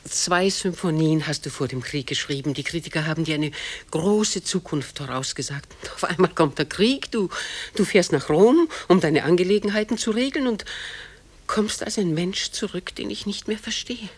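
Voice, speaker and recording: An older actress playing a genteel, proud old mother who clings to the past, in a measured, dignified radio play style with a husky voice. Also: on the low side, lively intonation. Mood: reproachful, worried. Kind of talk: German radio play acting.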